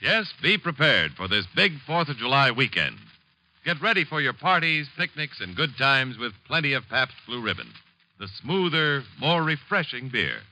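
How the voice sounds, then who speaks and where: A man's voice: mid-range voice, big pitch swings, moderate pace, bright, forceful tone, low-quality recording. A man announcing on 1950s radio with an emphatic, polished sales delivery. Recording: old radio broadcast, faint noise.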